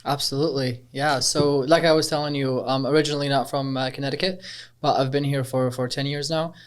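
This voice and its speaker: A man in his twenties, originally from Algeria, talking casually as a startup co-founder in an interview, relaxed and rambling with many fillers. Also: mid-range voice, fairly quick, clean tone. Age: 20s.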